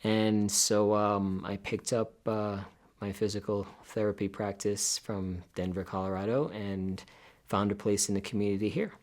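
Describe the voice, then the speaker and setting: A man from Jamaica, a physical therapist talking in a measured, relaxed way in an interview. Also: on the low side. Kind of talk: documentary interview.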